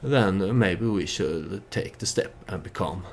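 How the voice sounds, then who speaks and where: A man narrates his strategy game playthrough in a low, creaky voice. He speaks very slowly and haltingly, working out the story as he goes in a casual way. Faint noise sits behind the voice. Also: expressive. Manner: hesitant, halting, thinking aloud.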